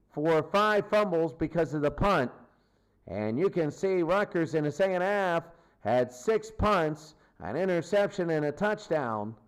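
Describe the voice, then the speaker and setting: A man doing play-by-play football commentary in a radio style, repeating phrases for emphasis. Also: high voice. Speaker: play-by-play sports announcer. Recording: clearly noisy sound.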